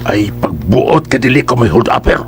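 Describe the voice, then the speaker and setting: A man playing a bossy, menacing hold-up robber in a Cebuano radio drama, gruff and mocking, with a Cebuano accent. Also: mid-range voice, sing-song, moderate pace, soft background music. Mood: threatening.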